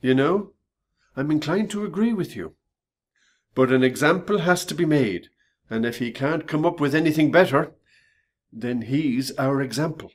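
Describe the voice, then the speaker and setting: Radio play boss male actor: authoritative, suspicious, clipped formal phrasing, very animated pitch. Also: moderate pace. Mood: stern, dismissive. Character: stern, suspicious company boss investigating staff theft.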